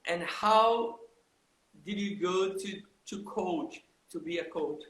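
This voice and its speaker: A lively male host with a high, bright voice who greets his viewers by name on a live chat. He sometimes slips into halting English for his guest. The room sounds echoey, with faint noise behind him.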